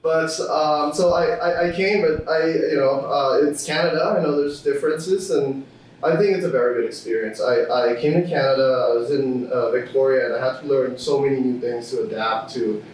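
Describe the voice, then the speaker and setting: A man's voice: mid-range voice, steady tone, moderate pace, darkish tone, slight background noise. A young man from Thailand, a student guest on a podcast, talking casually with many fillers and restarts. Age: young adult. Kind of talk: casual interview chat. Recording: echoey room, distant from mic.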